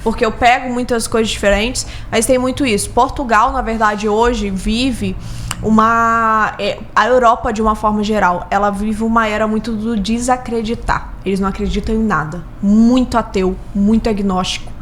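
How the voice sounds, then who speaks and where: A woman's voice: on the high side, fairly even intonation, moderate pace, a little hiss or hum. A Brazilian woman speaking casually as an interview guest, emphatic and repeating key words for stress. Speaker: podcast guest, business consultant.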